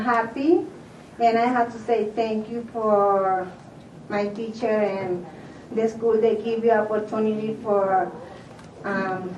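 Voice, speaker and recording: An older woman from Mexico, giving emotional, halting thanks. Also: on the high side, darkish tone, recorded from a distance, slight background noise. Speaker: award recipient. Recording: echoey chamber audio from afar.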